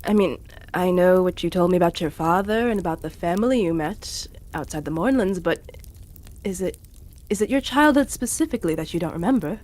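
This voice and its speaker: A woman playing a gentle, caring male companion in a fantasy audio drama, speaking hesitantly and earnestly. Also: fairly low pitch, expressive, fairly quick, close to an everyday voice. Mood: gentle, curious. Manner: hesitant, earnest, stumbling over words.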